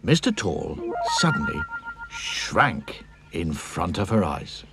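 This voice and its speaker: A man narrating a children's cartoon story in an expressive storybook style. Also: sits low, slow pace, vocal fry, loud music behind the voice.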